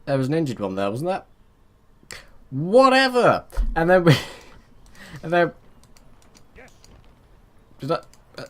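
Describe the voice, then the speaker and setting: A man's voice: fairly high pitch, huge pitch swings, slow, long pauses, fairly bright tone. A man giving casual let's play commentary, rambling and muttering to himself as he plays, joking at his own expense. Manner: self-mocking, chatty.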